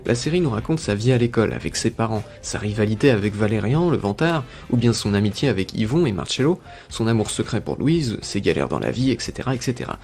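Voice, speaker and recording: A man's voice: on the low side, animated, fast, vocal fry, a little mellow. A young man giving a scripted, enthusiastic review as a video essayist. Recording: music playing under the voice. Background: background music.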